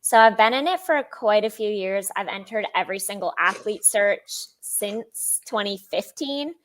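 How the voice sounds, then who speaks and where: A young woman with a high-pitched voice, telling her story as an interview guest in a chatty way, laughing often and acting out quotes. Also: animated, moderate pace, slight background noise. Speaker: sponsored athlete guest.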